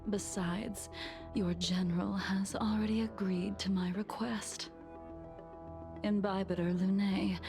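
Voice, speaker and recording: Wistful older lady, slow, gravelly, teasing, game score underneath. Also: mid-range voice. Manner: slow, measured, gently teasing. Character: older woman, calm, weary and wistful. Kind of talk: game voice acting.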